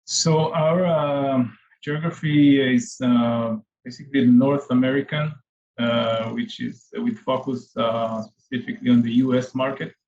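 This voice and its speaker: A man's voice: mid-range voice, fairly even intonation, a little slow, a little mellow. Hesitant Israel-born man, muffled sound. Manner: hesitant, many fillers. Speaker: venture capital investor guest. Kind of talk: interview answers.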